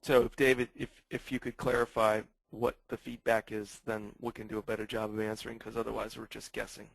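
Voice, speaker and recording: A man's voice: lowish voice, level tone, a little fast, vocal fry, dry recording, low-quality recording. A man in his fifties hosting a webinar question-and-answer session, speaking hesitantly with many ums and restarts. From born into a German family, raised in the US. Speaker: webinar host running a coaching pilot.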